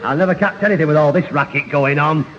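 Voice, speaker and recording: A man's voice: a little high, fast, fairly bright tone, natural voice. An actor playing a grumpy, mocking Northern English man who threatens and insults in a 1970s radio sitcom. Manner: gruff, sarcastic, insulting. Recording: echoey, noisy, dull old radio broadcast.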